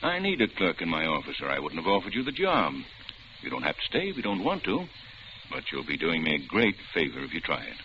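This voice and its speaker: A deep fatherly lawyer. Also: wildly swooping pitch, brisk. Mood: kindly. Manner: warm, reassuring. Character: kindly fatherly older lawyer. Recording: noisy lo-fi old radio drama.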